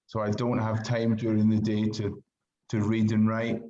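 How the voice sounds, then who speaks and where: A man's voice: lowish voice, monotone, moderate pace, mellow. A middle-aged man with a Scottish accent, answering casually as a writer being interviewed, heard through lo-fi call audio. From Glasgow, Scotland.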